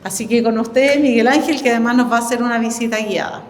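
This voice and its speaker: A middle-aged woman with a Chilean accent giving an informal welcome speech as a director, halting as she searches for words. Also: on the high side. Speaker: library director giving an opening address. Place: indoor exhibition event in a library. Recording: echoey hall, reverberant, faint background noise.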